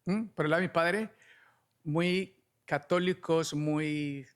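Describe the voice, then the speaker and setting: A man's voice: on the high side, slow, clear, resonant tone. A middle-aged man with a Colombian accent, explaining things conversationally as a guest and often adding little checking questions. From Cali, Colombia. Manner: frequent checking tags like "¿no?". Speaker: podcast guest, alternative medicine doctor.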